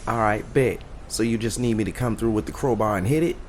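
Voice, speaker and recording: A man's voice: lowish voice, a little fast, slight background noise, natural voice. A man playing an eager younger street sidekick in an audio drama, talking in casual slang. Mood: interested.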